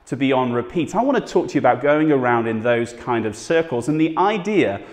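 A man in his thirties preaching straight to camera from his living room, earnest and chatty, gesturing as he goes. his voice sits at an ordinary middle pitch, and a long echo hangs in the room behind every phrase. he sounds like someone speaking to a congregation through a clip-on mic. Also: very animated, brisk. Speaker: pastor. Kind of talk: home video sermon. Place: small home living room, indoors.

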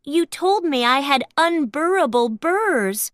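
A woman's voice: high voice, lively intonation, takes it slowly, a bit bright, dry recording, clean studio sound, full character voice. A woman voicing a friendly, clumsy toy dragon in a children's storybook in a simple, eager manner. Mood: realizing. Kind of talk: children's storybook character reading.

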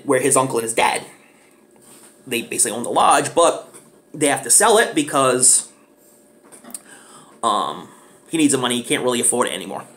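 A man's voice: medium pitch, fairly bright tone. A man in his thirties giving a casual, rambling movie review in a small living room, speaking enthusiastically with many fillers into his webcam mic.

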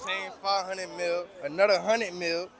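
Laid-back young man, high voice. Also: level tone, unhurried, fairly bright tone. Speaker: interviewee.

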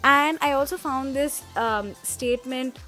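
A woman's voice: high voice, measured pace, sharp tone, soft background music. Chatty young woman vlogger. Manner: chatty, upbeat, addresses viewers as guys. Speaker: fashion vlogger. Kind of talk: casual shopping vlog. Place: outdoor street market and indoor shopping arcade.

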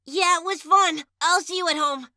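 A woman voicing an eager young male goat monster in a comic dub, excitable and boyish. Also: extremely high pitch, moderate pace, no echo, clean studio sound, heavily acted. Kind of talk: amateur comic dub acting.

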